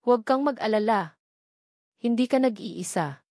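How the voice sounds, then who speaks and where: Supportive upper-class woman , played by a female narrator: calm, kind, reassuring, dry audio-drama recording. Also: a little high, moderate pace, no room sound.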